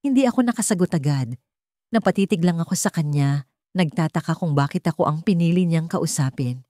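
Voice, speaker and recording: A woman's voice: sits low, animated, brisk, warm tone, dry recording, clean studio sound. A woman reading a scripted first-person romantic story as a storyteller.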